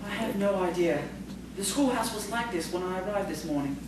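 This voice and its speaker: A man's voice: high-pitched, expressive, brisk. Male actor as fussy, timid schoolmaster, prim and fretful, heard from afar in heavy echo. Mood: fretful, bewildered. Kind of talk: audio theatre acting. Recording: very echoey, distant, noisy stage recording.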